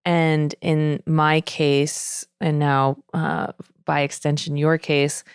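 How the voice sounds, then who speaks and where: A woman from the United States, a poet guest on a podcast, chatting casually with quick asides. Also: deep voice, flat delivery, smooth, no room sound, clean studio sound. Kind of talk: casual conversational chat.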